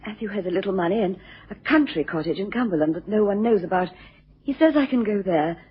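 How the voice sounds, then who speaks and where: A woman's voice: medium pitch, lively intonation, quick pace, slightly rough. An actress playing a distressed, genteel wife in a radio drama, hesitant and pleading as she seeks help. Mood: anxious, beseeching. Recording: muffled lo-fi radio recording.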